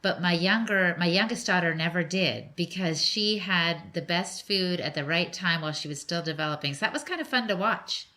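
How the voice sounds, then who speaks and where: A woman's voice: on the low side, animated, moderate pace, hardly any pauses. Curious woman podcast host. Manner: curious, warm interjections, laughs lightly. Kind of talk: interview conversation.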